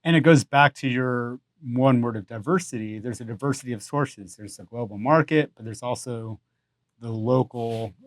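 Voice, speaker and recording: A man's voice: mid-range voice, moderate pace, no room sound. A man hosting a podcast interview, talking in a loose, conversational way with plenty of "you know" and "um". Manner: conversational, with many fillers. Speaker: podcast host.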